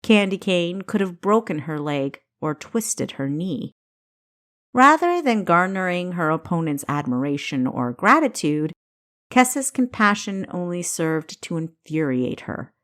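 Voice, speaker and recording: A woman with a slightly low voice narrates an action-driven audiobook. She reads in a dramatic, deliberate manner, enunciating each phrase with care. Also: sing-song, fairly bright tone. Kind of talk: scripted narration of an action story.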